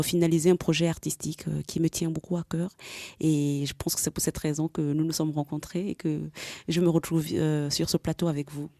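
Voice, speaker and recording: A young woman from Gabon, a singer giving a calm, measured radio interview. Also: low voice, no room sound, studio quality. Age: young adult. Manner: calm, measured, occasional fillers. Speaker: guest singer. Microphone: studio microphone.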